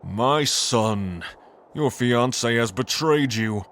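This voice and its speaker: Slow, grandiose villainous angel lord. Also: male voice, medium pitch, expressive, a bit bright, a little hiss or hum. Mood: manipulative. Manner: grandiose, condescending, deliberate. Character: cold, manipulative angel lord, scheming villainous father. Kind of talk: fantasy audio drama acting.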